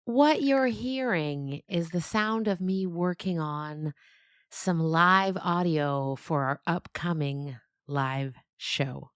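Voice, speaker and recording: A woman's voice: lowish voice, slow pace, smooth, no echo, clean studio sound. A woman hosting a podcast, speaking casually to her listeners as she gives announcements. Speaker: podcast host and creator.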